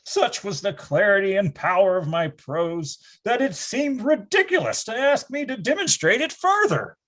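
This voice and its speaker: Male actor as wry grown-up recalling boyhood in readers theatre: theatrical, very animated, slightly rough high voice. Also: moderate pace, heavily acted. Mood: triumphant. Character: grown man wryly recalling his boyhood, grandiose and dramatic. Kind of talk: readers theatre first-person storytelling.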